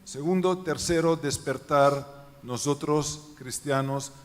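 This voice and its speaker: A man speaking as a missionary guest in front of a congregation, earnest and emphatic. His voice is on the higher side for a man, and he stacks up points in lists. The large hall adds a long reverb. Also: slow. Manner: earnest, emphatic lists, quiet falling endings. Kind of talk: interview answers in church.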